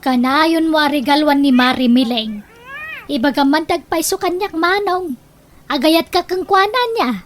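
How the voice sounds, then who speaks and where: Woman acting a high-pitched, nosy village auntie. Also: moderate pace, lightly acted. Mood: teasing, nagging. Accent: Ilocano. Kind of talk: Ilocano comedy radio drama acting.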